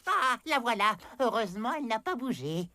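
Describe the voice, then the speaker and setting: A woman voicing a grumbling sorceress in a French animated cartoon. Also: on the high side, moderate pace, a little hiss or hum, full character voice.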